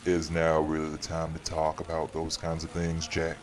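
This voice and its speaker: An actor playing a stern, dry-witted community guardian with a formal, sardonic, measured delivery in an audio roleplay. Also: male voice, extremely low pitch, monotone. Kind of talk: audio roleplay acting, dramatic dialogue.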